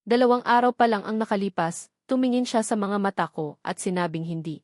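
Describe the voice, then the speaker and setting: A woman's voice: medium pitch, a little fast, darkish tone, no room sound, natural voice. Woman voicing an intense, wounded male doctor, dry sound. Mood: furious. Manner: intense, controlled, demanding. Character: proud, controlled male doctor, wounded and angry.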